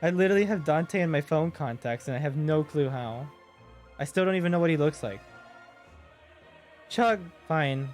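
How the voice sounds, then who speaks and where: A man with a deep voice chatting casually with his stream viewers, joking and reading their messages aloud. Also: expressive, moderate pace, long pauses, a little mellow, faint music behind.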